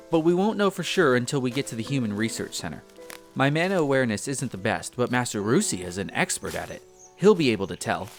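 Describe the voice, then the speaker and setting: A man playing an earnest, bookish young elf apprentice in a roleplay audio drama, chatty and a little hesitant, easily flustered. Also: mid-range voice. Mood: earnest, explaining. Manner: hesitant, chatty, explains eagerly. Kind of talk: scripted roleplay audio drama addressed to the listener.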